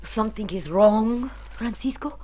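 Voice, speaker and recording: A woman's voice: fairly high pitch, unhurried, dark tone, slight background noise, lightly acted. A woman playing a devoted, aristocratic Spanish mother in a radio drama, speaking formally and with feeling. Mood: concerned, gracious. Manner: formal, stilted phrasing, emotional.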